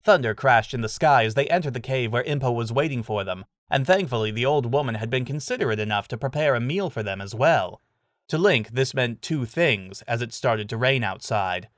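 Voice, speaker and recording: Mid-pitched man narrating, dry sound. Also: lively intonation, fairly quick, no room sound. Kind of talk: scripted dramatic story narration.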